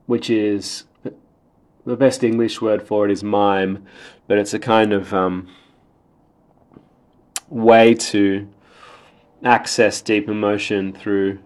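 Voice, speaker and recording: A man with an Australian accent, a podcast guest talking reflectively in measured, explanatory short phrases. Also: lowish voice, a little mellow. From Australia, raised in Sydney. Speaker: podcast guest, theatre writer and director. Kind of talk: reflective interview talk.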